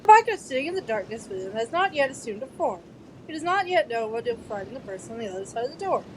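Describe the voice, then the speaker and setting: Woman acting kindly male teacher, high animated voice, courteous, instructive, some background noise. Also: fast, low-quality recording. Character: kindly, encouraging male defence professor. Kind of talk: fan audio drama acting.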